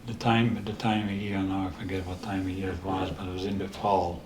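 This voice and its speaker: A very low-pitched, older man with a Dutch accent recounts his memories slowly, stopping and restarting often. His voice stays flat and even. The room around him is echoey, with faint ambience behind. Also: noisy background. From the Netherlands. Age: 80s. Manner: halting, with many restarts and pauses. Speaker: interview guest. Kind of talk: storytelling in a casual interview.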